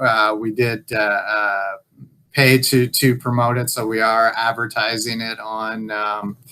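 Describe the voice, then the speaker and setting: Man with a flat, bright, halting delivery. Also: fairly low pitch, poor audio. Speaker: local employer survey presenter. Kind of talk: informal update on a conference call. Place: group video call.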